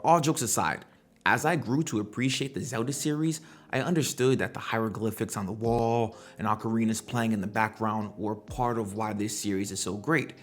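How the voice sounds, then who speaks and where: A man's voice: on the low side, normal speed. A man hosting a gaming commentary video, talking in a conversational, slangy way and throwing out rhetorical questions. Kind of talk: casual opinionated video commentary.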